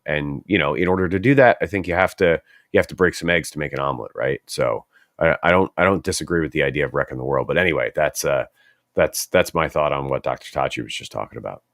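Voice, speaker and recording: A man with a very low voice, stuffed up and dry-throated from a head cold. He muses his way through his points slowly, full of restarts and fillers. The sound is close and dry, with no room around it. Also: a bit bright, dry recording. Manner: reflective, thinks aloud with many fillers and restarts. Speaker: co-host. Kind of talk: casual panel discussion in a social audio room.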